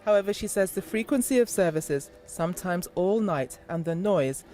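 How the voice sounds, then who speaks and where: A man reading scripted narration for a news report, in a quiet played clip. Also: sits high, animated, moderate pace.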